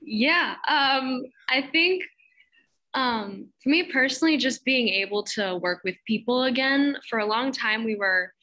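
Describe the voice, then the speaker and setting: A woman's voice: fairly high pitch, a little slow, bright, forceful tone, poor audio. A teenage girl from Boston, a drama student, speaking earnestly with some fillers. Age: late teens.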